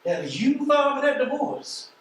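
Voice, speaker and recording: Wry, animated American mail carrier. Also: male voice, very high-pitched, normal speed, exaggerated voice. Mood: admonishing. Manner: folksy, colloquial, wry. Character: wry, unhurried male mail carrier, streetwise and philosophical. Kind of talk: stage play acting. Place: theatre stage, recorded from afar. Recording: echoey stage, distant mic, faint noise.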